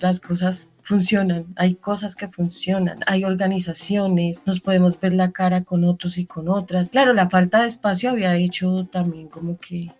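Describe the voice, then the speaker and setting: A woman's voice: fairly low pitch, a little mellow. A woman with a Bogotá accent, a community educator telling her life story in an interview, reflective and full of restarts. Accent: Bogotá Spanish. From Colombia.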